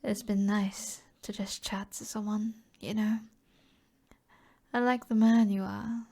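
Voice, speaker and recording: British woman doing a flirty tavern sorceress, british accent, soft breathy asmr, really slow n teasing, kinda whispery. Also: medium pitch, fairly even intonation. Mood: intimate, flirty. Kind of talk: ASMR roleplay, soft intimate acting.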